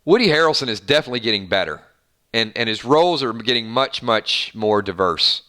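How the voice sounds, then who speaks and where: A man's voice: medium pitch, expressive, brisk, bright, forceful tone. A man hosting a casual fan live stream, chatting and reading out viewer comments in an upbeat, rambling way. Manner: chatty, upbeat, lots of fillers and restarts.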